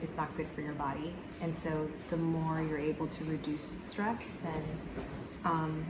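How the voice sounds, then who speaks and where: A woman answers interview questions in a thoughtful way, pausing often with ums. Her voice sits fairly low. The recording sounds echoey, with steady noise behind her. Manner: thoughtful, many ums and pauses.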